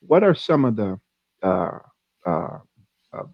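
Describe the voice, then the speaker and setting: Male podcast co-host, low-pitched, relaxed and rambling; dry, dull lo-fi audio. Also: slow pace, creaky, no room sound. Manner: easygoing, chatty, long rambling questions. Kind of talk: casual interview hosting.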